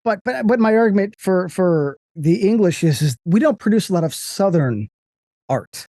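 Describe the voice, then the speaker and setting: An American man talking casually and profanely about movies as a podcast guest, rambling with quick jokes. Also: high voice, no room sound, clean studio sound. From United States. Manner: animated, profane, slips into mock voices.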